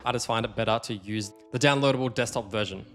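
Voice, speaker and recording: A man hosting a casual software tutorial, explaining things conversationally and stressing the key points. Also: fairly low pitch, quick pace, soft background music. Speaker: tutorial host.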